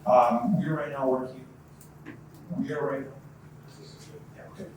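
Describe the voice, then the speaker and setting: A man from Croatia introduces himself on a panel, speaking haltingly and often filling pauses. He sits well back from the microphone in a very echoey room. Clear background noise runs under his voice. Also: medium pitch, flat delivery, recorded from a distance. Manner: halting, many fillers. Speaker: startup founder on panel. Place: event venue.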